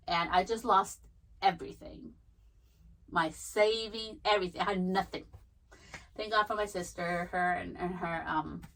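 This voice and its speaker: A woman talks through her own past on a podcast, candid and loose, with plenty of swearing and casual filler. Her pitch sits in an ordinary middle range. She sounds a little distant from the microphone. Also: a bit bright. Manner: frank and profane, chatty with many fillers. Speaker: podcast host. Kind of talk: candid personal storytelling.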